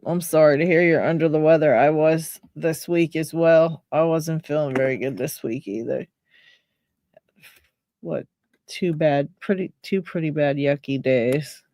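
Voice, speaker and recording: Slow-talking Pittsburgh woman, dry close mic. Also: sits low, dark tone, dry recording. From Pennsylvania, USA. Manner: chatty, reads out chat names, laughs often. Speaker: livestream host. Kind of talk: casual live chat with viewers.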